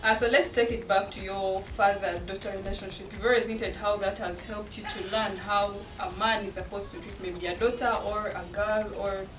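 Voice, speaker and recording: A woman's voice: medium pitch, fairly even intonation, moderate pace, hardly any pauses. A woman asking questions conversationally as the presenter of an interview. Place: studio. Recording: roomy echo, music behind.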